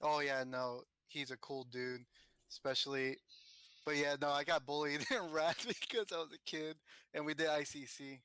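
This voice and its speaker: A man in his twenties chatting casually with fellow players over voice chat, in a relaxed, halting way. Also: on the high side, clear, resonant tone, no room sound. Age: 20s. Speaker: raid member on voice chat.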